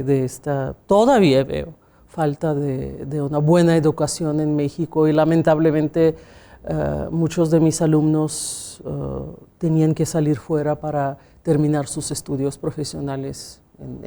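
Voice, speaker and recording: Interviewee: Russian-accented woman, music teacher, warm low-key timbre, reflective. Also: gravelly. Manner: reflective, often ending with a questioning tag, small grammar slips. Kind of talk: conversational interview answers.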